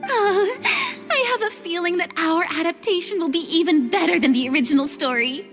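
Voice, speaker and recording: Eager dramatic girl film director for a game, high pitched, bossy and articulate, big reverb. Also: fairly quick, a bit bright. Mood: excited, commanding. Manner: theatrical, articulate, commanding. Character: theatrical, eager young female film director. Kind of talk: video game voice acting. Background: music.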